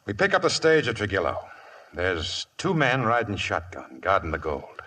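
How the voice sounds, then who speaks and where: Casting: domineering American outlaw boss for radio western; low, hard-edged voice, curt and menacing. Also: male voice, big pitch swings, moderate pace, pushed and bright, husky, exaggerated voice. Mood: businesslike, commanding. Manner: curt, threatening, bossy. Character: gruff, domineering male outlaw gang leader planning a robbery. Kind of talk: 1950s radio western acting.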